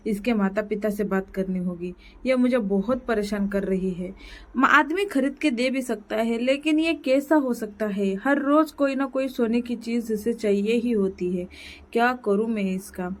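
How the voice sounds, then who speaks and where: A woman's voice: fairly high pitch, measured pace, close to an everyday voice. A woman voicing a meek, henpecked male crow who pleads with his wife and frets about money. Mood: fretting. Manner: pleading, weary, worried.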